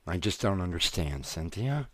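A man's voice: deep voice, sing-song, normal speed, music in the background. An actor playing an earnest young man, pleading with his fiancée and growing exasperated. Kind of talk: audio drama acting.

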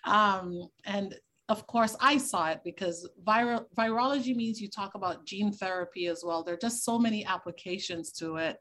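Eager woman with a Caribbean lilt. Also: mid-range voice. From Tobago, Trinidad and Tobago. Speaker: doctor, virologist and fertility specialist guest. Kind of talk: interview answers, explaining science.

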